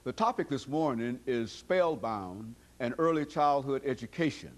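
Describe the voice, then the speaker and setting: A middle-aged man hosting an interview show, speaking slowly with many "uh" pauses. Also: mid-range voice. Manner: slow, halting, many "uh" fillers and steady "mm-hmm" backchannels. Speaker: talk show host.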